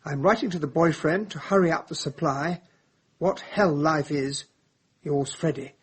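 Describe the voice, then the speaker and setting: An actor playing a prim, honourable English gentleman sidekick in a radio drama, proper and slightly flustered in manner. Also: on the high side, measured pace, slightly rough. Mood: reading, matter-of-fact.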